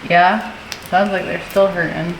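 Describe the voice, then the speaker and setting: A woman with a mid-range voice teases in a dry, deadpan way from off camera. She sounds distant in an echoey shop, with faint noise around her. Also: lowish voice, measured pace. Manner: teasing, deadpan ribbing. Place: indoor auto repair shop.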